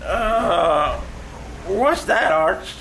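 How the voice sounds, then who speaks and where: An actor playing a slow-witted, good-natured oaf in old-time radio comedy, speaking in a dopey, halting, childlike way. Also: male voice, high-pitched, expressive, creaky, fairly bright tone, exaggerated voice. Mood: puzzled. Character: slow-witted, good-natured oafish man, earnest and simple. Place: radio theater stage. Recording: noisy live stage recording.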